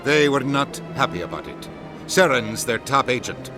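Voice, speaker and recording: A man's voice: mid-range voice, animated, normal speed, natural voice. An actor voicing a stern, lecturing male ambassador. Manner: stern, scolding. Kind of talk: video game voice acting. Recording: game audio over music.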